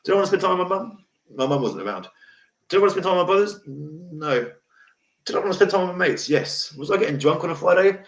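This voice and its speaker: A middle-aged man with a deep voice hosts a casual livestream talk, blunt, emotional and rambling. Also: expressive, fast. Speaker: host. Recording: echoey room.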